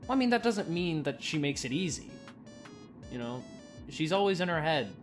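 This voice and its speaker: Woman playing an eager, low-voiced boyish young man. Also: big pitch swings, normal speed. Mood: casual, sympathetic. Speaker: voice actor in an audio drama. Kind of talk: audio drama acting. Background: clear music.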